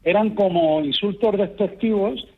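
A man calling in to a radio talk show, telling his stories in a calm, unhurried way. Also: high voice, crowd noise. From Extremadura, raised in Madrid. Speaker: caller. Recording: muffled phone line.

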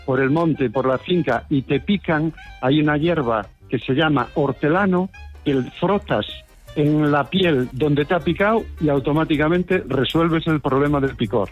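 A fairly high-voiced man from Galicia rings in to a radio program and explains things in an eager, rambling way, with faint music playing underneath. He sounds like a regular listener sharing local lore. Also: normal speed, highs cut off. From Galicia, Spain. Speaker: radio caller. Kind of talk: phone-in chat.

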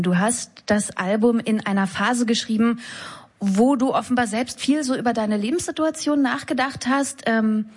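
A woman hosting a live radio show from the studio, speaking into a studio microphone. Her voice is a little high and breathy. She presents in a measured, unhurried way. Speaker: radio host. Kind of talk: radio interview and announcing.

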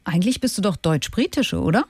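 A woman radio host interviewing a guest, her questions quick and friendly. She speaks at a mid pitch with a dry, close studio sound. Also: very animated, darkish tone, breathy edge, dry recording. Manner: brisk, friendly questioning, ends with "oder?".

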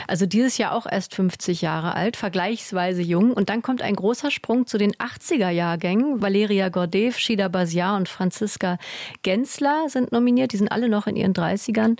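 A woman literature editor explaining on radio in a lively, conversational way. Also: mid-range voice, no echo, clean studio sound.

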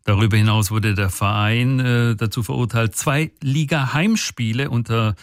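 A male sports reporter on the radio, talking through results in a brisk, conversational way with a few fillers. His mid-range voice sits close on a dry studio microphone with no room sound. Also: medium pitch, no room sound.

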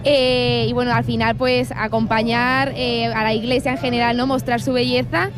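A woman's voice: fairly high pitch, level tone, normal speed, music in the background. A young woman from Spain answering a street interview hesitantly, with many fillers. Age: young adult.

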